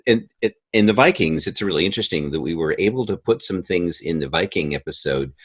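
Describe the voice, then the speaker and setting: Older man, very low husky voice, dry close sound, conversational interview guest. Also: animated, a little fast, fairly bright tone, no room sound. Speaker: audio drama producer and composer, interview guest.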